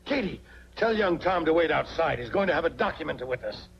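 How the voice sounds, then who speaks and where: A stingy, domineering old American grandfather from a 1940s film. His creaky voice jumps up and down as he orders people about. The soundtrack is dull, with a faint hum. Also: on the high side, expressive, a little fast. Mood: stubborn, commanding. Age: older.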